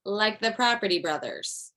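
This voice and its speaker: A woman's voice: medium pitch, expressive, moderate pace, bright, forceful tone. A woman playing a dry, hesitant middle-aged widowed mother who used to do standup, in a comedy table read. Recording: video call.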